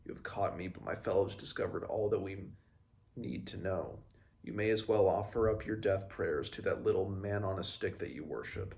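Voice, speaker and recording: A man reading the part of a scornful immortal fey captive from a fantasy novel, measured and disdainful. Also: sits low, creaky, a little mellow.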